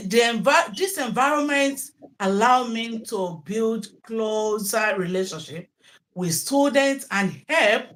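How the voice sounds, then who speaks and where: A woman's voice: fairly high pitch, poor audio. Talkative Nigerian woman, Yoruba native. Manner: talkative, repeats words, stops to restart. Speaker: interviewee, teacher. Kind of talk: interview answers.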